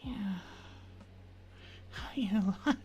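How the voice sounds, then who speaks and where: A woman hosting an ASMR live stream, chatting casually and affectionately to viewers in a soft, breathy voice that often drops into a whisper. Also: mid-range voice, drawn-out words, dull audio. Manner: affectionate, intimate, uses pet names.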